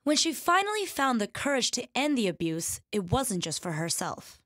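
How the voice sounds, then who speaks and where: A woman's voice: on the high side, very animated, moderate pace, fairly bright tone. A young woman reporter giving a scripted news report in an emphatic announcer manner. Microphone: handheld mic.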